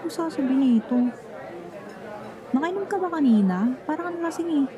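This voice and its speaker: A woman's voice: sits high, expressive, moderate pace, lo-fi sound, a crowd in the background. A young woman acting a grumpy, jealous friend who feigns nonchalance in an ASMR roleplay, pouty and defensive, slipping casual English into her talk. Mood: irritated. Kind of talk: Tagalog ASMR roleplay acting, one-sided conversation.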